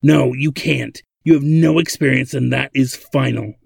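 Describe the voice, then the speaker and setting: Man playing a stern, grumbling old inventor, creaky. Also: medium pitch, steady tone, measured pace, darkish tone, no echo, clean studio sound, pitched above the performer's own voice, heavily acted. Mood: stern, betrayed. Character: grumbling, hot-tempered old male inventor, stern father.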